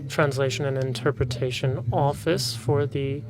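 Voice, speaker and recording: A man's voice: medium pitch, normal speed, rough recording. Male interpreter over a murmuring audience. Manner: formal, steady, official tone. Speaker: conference interpreter. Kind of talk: simultaneous interpreting of a panel discussion. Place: conference room with an audience. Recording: conference room with audible crowd.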